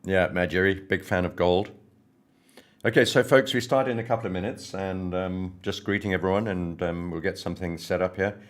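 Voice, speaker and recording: A hoarse man hosting a trading livestream, greeting viewers by name in a friendly, rambling way before going through market news. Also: low-pitched, steady tone, normal speed. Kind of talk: casual live-stream chat and market commentary.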